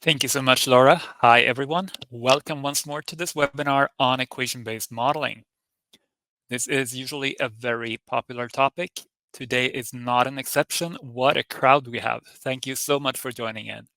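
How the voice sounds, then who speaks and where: A man from Sweden with a Swedish accent, presenting a technical software webinar in a friendly, explanatory way. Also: mid-range voice, fairly even intonation, normal speed, no echo. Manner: friendly, explanatory, lightly informal.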